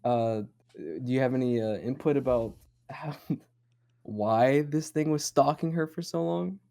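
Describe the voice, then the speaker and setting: A man's voice: mid-range voice, expressive, measured pace, vocal fry, dark tone. A man hosting a horror discussion show, talking in a casual, rambling, speculative and sometimes profane way. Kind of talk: casual chatty analysis of horror lore.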